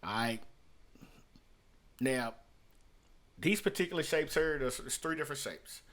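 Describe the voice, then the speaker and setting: Male music producer, middle-aged, medium pitch, conversational tutorial delivery, rephrases for emphasis. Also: expressive, measured pace, fairly bright tone. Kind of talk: informal screen-share tutorial, unscripted. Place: small room at home.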